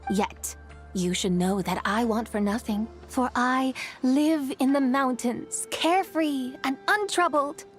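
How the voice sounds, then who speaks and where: Game role: proud, mischievous immortal spirit woman, higher voice, formal archaic diction, drawn-out theatrical delivery. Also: soft background music. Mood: teasing, haughty. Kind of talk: scripted game voice acting.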